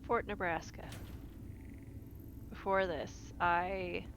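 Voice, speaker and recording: A woman voicing a shaken, wounded Marine veteran in a tabletop game, halting and repeating herself in disbelief. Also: on the low side, fairly even intonation, noisy background. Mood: shaken, disbelieving.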